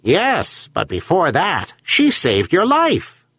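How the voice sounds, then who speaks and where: A man's voice: high voice, wildly swooping pitch, slow pace, a bit bright, breathy edge, dry recording, voice pushed up, heavily acted. A man voicing a wise herring gull in a storybook tale, admonishing and philosophical. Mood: probing. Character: wise, chiding herring gull, moral counselor.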